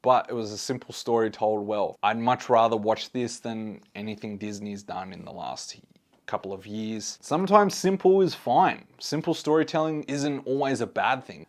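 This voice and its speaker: A man's voice: mid-range voice, expressive, normal speed. A man hosting a casual movie review, speaking conversationally and giving his opinions to his viewers. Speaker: host, film reviewer.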